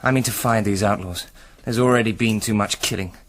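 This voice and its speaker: An actor playing a brisk, resolute commander of soldiers in a radio drama. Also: male voice, fairly low pitch, fairly even intonation, natural voice. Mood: brisk, determined.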